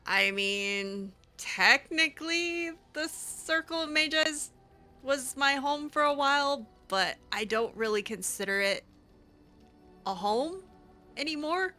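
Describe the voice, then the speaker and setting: A woman improvising in-character chat over a video game, casual and halting, with a teasing streak. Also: a little high, bright, forceful tone. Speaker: gaming channel host, roleplayer. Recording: music behind the voice. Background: game music.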